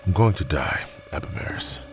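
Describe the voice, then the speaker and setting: A man playing a sick, dying older father in an audio drama, speaking weakly and gently with quiet dignity. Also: low-pitched, expressive, normal speed, faint music behind. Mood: resigned, dying.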